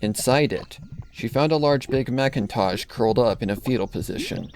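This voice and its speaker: Man, mid-pitched voice, scripted story narration, faintly noisy recording. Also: moderate pace, darkish tone.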